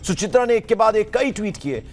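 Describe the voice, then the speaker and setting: A man's voice: high-pitched, expressive, fast talker. A man presenting and interviewing as a television news anchor, speaking insistently and repeating himself. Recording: music behind the voice.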